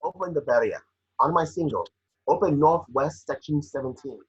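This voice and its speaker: A man's voice: on the high side, moderate pace, breathy edge, dull audio. A man playing a resolute, commanding warrior king in an amateur script read.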